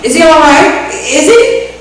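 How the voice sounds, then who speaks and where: A woman's voice: high voice, a little slow. A woman playing a flustered, genteel townswoman in a comic old-time radio western. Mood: alarmed. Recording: echoey, distant stage recording, faint noise.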